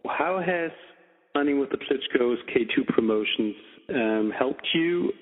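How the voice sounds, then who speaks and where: A man putting questions as a reporter on a conference call, carefully, pausing between phrases. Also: mid-range voice, expressive. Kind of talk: phone interview questioning. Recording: tinny phone-line conference call with reverb.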